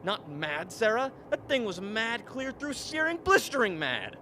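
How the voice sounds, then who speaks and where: An overwrought scientist in a radio drama , played by a man, with a fairly high voice. He is haunted and vehement, hammering on repeated words. Faint noise sits behind him. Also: a little slow, full character voice. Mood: fearful, agitated. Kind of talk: dramatic radio play acting. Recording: faint steady background noise.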